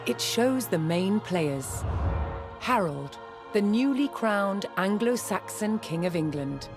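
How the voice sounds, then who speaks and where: A woman narrating a scripted history documentary in a measured, dramatic storytelling style. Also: mid-range voice, lively intonation. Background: loud music behind the voice.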